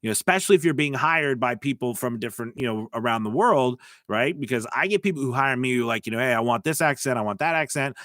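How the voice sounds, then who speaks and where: An American man talks through a live stream, coaching viewers and reading their chat messages aloud in a loose, rambling flow full of restarts and fillers. His voice sits at an ordinary middle pitch. The sound is dry and close, with almost no room around it. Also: lively intonation, fast, hardly any pauses, a bit bright, no room sound. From United States. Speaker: host of a live voice-over business stream.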